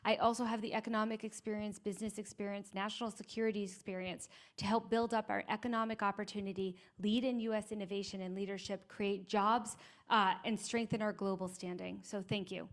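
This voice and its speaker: A woman congressional candidate giving a brisk, emphatic closing statement at a forum. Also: mid-range voice, little pitch movement.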